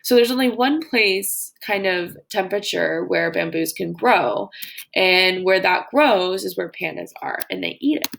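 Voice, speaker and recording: A woman teacher doing an educational read-aloud for children, explaining as she reads and pausing to wonder aloud and ask questions. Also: lowish voice, vocal fry, fairly bright tone. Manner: explanatory, pauses to wonder aloud and pose questions to young listeners. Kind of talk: educational read-aloud of a children's nonfiction book with think-aloud comments.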